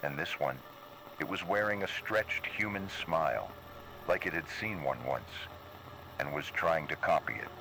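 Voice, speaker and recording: A man with a very low voice tells a scripted horror story in the first person. Background noise runs under the narration. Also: lively intonation, normal speed, gravelly, bright, forceful tone, rough recording.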